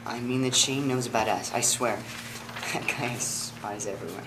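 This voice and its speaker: A man's voice: mid-range voice, normal speed, close to an everyday voice. A man playing an earnest, principled, wounded young lover in a dramatic script reading. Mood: earnest, uneasy. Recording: clearly noisy room.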